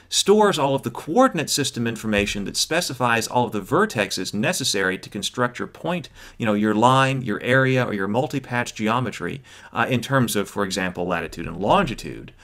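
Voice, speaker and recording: A man's voice: medium pitch, animated, normal speed, fairly bright tone. A man in his thirties giving an explanatory lecture as an instructor, in a didactic way with check-ins along the way. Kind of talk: explanatory lecture on GIS software.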